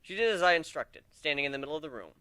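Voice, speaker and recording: A man narrates a first-person fantasy story in a dramatic reading. The voice is fairly high and bright, very lively in pitch, and recorded dry and close. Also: rapid-fire, smooth, no room sound.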